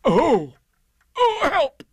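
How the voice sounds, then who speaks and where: A man voicing a slow, greedy, gloomy storybook bear who is easily flustered, with a hesitant, plaintive, drawn-out delivery. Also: mid-range voice, lively intonation, darkish tone, dry recording. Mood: distressed.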